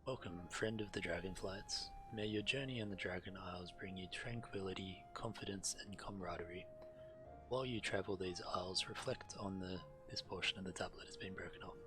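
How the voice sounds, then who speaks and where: A man's voice: low voice, fairly quick. A man streaming a video game, reading quest text aloud and adding casual commentary. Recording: game music playing behind. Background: video game music.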